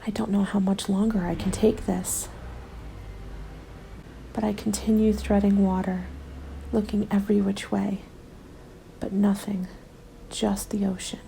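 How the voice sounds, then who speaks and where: A woman narrating a scripted first-person ASMR story in a soft, measured, often whispery delivery. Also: medium pitch, little pitch movement, gravelly, slight background noise, low-quality recording. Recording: background music under the voice. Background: music.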